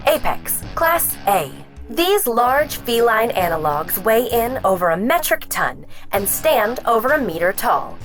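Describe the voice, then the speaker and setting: High, lilting female guide voice. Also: a bit bright. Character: female hunter's guide voice reciting creature entries. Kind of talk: scripted encyclopedia narration, formal announcer delivery.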